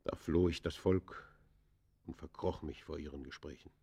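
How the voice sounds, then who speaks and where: An actor playing an embittered ex-convict in a radio play, confessing in theatrical, literary that swings from hushed to fierce. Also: male voice, rumbling low, normal speed, gravelly, slightly rough, full character voice. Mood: sorrowful, pleading. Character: embittered male ex-convict confessing his descent into crime. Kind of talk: German radio play acting, literary monologue.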